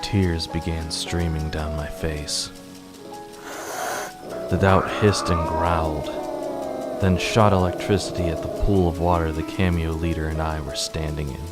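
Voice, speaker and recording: A man giving a measured, solemn scripted narration for a radio drama in a gravelly voice. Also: very deep voice, steady tone, loud music behind the voice. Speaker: writer and narrator.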